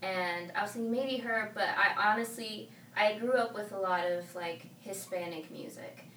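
A woman's voice: mid-range voice, moderate pace, a bit bright. A woman whose first language is Spanish, chatting casually as a singer being interviewed. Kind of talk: casual conversational chat. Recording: echoey, noisy room, distant mic.

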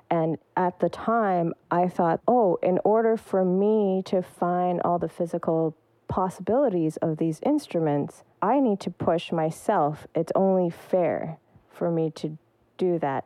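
A woman's voice: on the low side, warm tone, no room sound, low-quality recording. A woman percussionist giving thoughtful, searching answers in an interview. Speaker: percussionist guest.

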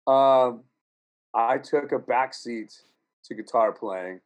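A man's voice: mid-range voice, slow pace. A man talking as a musician guest in a casual podcast chat, rambling and profane, with frequent laughs.

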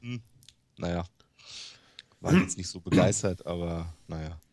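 A man co-hosting a casual tech podcast over an internet call, talking quickly as he explains things. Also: sits low, a little mellow, no room sound. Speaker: podcast co-host. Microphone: headset over a Skype call.

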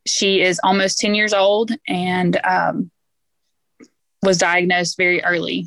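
A woman from Alabama speaks in an even, flat tone about her child. Her voice is dry and close, with no room around it. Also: fairly low pitch, no echo. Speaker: parent panelist.

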